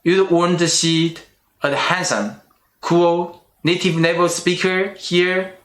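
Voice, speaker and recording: Slow-speaking middle-aged man, Chinese accent. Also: sits high, steady tone, fairly bright tone. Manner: slow and careful, with restarts on small words. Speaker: channel host. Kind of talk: personal vlog monologue.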